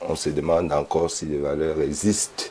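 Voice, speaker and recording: Deep-voiced man in his fifties. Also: flat delivery, normal speed, dark tone, a little hiss or hum. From Cameroon. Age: 50s. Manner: emphatic, repeats words when starting. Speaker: panel guest. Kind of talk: studio debate.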